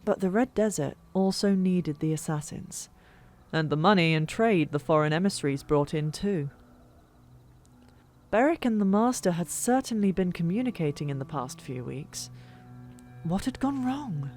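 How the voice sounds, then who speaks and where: A woman reading a fantasy novel as an audiobook narrator, expressive and dramatic, with hushed asides and pauses. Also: fairly low pitch. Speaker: narrator of a fan-made audiobook. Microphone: old microphone. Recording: music bed, older microphone. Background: background music.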